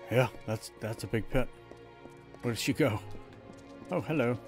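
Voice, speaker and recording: A man's voice: mid-range voice, measured pace. A man doing casual live gameplay commentary, muttering informal reactions to himself as he plays. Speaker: game streamer. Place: indoor room, streaming webcam setup. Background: game music.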